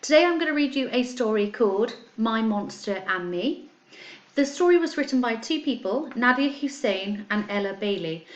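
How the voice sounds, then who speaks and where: A woman's voice: on the high side, lively intonation, moderate pace, muffled recording. A woman, a primary school teacher, reads a children's story aloud and talks to pupils, explaining simply and stressing words expressively. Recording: echoey, roomy sound.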